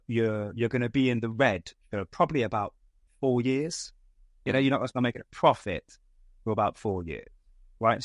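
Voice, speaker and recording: A man's voice: medium pitch, fairly bright tone, no room sound. A man being interviewed as a guest who runs a distribution company, restarting and repeating words as he explains his views. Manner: stammers, repeats words, uses analogies. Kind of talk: interview, conversational.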